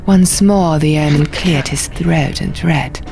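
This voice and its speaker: A woman narrating a fantasy audiobook in a hushed, measured, dramatic storytelling style. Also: low-pitched, gravelly. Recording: music behind the voice.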